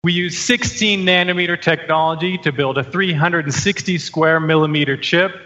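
Bright-voiced male keynote presenter. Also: a little high. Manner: measured, promotional. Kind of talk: scripted product keynote. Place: large stage event. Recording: lo-fi relayed stage audio.

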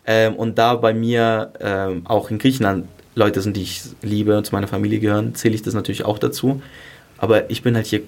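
A man's voice: on the low side, level tone, fairly quick, creaky, a little mellow. A man in his early twenties from Greece and southern Germany, chatting candidly as a podcast guest in casual, youthful, emphatic language. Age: early 20s. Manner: candid, youthful colloquial phrasing, emphatic repetition. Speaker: podcast guest, singer and song contest contestant. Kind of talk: casual interview chat.

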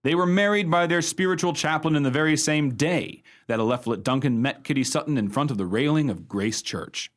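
An actor playing an uncle who tells a ghost story in a radio play, in a measured storytelling manner. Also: male voice, mid-range voice, close to an everyday voice.

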